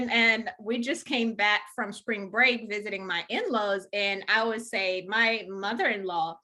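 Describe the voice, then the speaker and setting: A woman's voice: on the high side, pushed and bright. A woman from Rwanda and Uganda, a home organizer, talking enthusiastically in a casual interview with many fillers. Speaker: professional organizer, guest.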